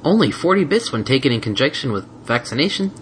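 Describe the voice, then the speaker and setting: Man doing an officious, higher-voiced nurse pony lady. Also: sing-song, quick pace, a bit bright, a little hiss or hum. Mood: businesslike.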